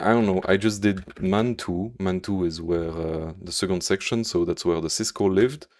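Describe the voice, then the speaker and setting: Belgian french guy coding live, deep low voice, slow, lots of uhs and humming. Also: darkish tone. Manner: thinks aloud with many fillers, hums to himself while working. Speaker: programmer streaming live. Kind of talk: live coding stream, chatting with viewers.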